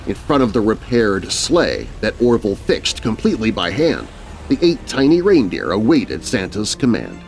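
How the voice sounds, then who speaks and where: A man's voice: fairly low pitch, very animated, normal speed, creaky. A man narrating a spooky rhyming children's story in a theatrical, dramatic way. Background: music.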